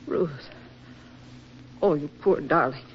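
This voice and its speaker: An actress playing a motherly, gently consoling older female friend in a 1940s radio drama, speaking expressively. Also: deep voice, takes it slowly, slight background noise. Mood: concerned. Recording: muffled vintage radio recording with music.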